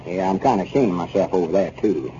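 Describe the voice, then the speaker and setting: A folksy rural Southern storekeeper, acted by a man for 1930s radio comedy, with a relaxed, mellow voice. He is gullible and pleased with himself, rambling through homespun sayings. The recording is dull, with faint noise. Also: low-pitched, fast, natural voice. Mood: sheepish, amused. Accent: rural Southern American. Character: folksy, gullible, self-important country storekeeper.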